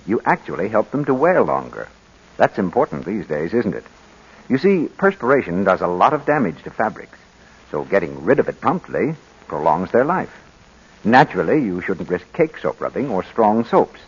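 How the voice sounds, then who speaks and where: Male vintage radio announcer and pitchman, low animated voice, muffled broadcast sound. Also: breathy edge. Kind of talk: 1940s radio announcing and advertisement.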